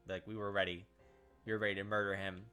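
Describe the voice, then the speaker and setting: A man hosts a casual game playthrough, switching between reading the story and chatty asides full of filler words. His voice is bright and forward. Soft music plays underneath. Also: lowish voice, flat delivery, fast, clear, resonant tone. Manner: chatty, filler-heavy with frequent "like". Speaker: let's play host. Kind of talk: casual game commentary and read-aloud.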